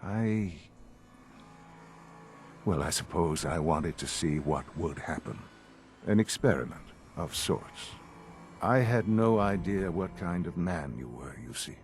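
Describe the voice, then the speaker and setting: An actor playing a calm, calculating older male leader of a science institute in a video game, speaking in a measured, formal way. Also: low-pitched, slight background noise. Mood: reflective, measured. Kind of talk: video game cutscene acting.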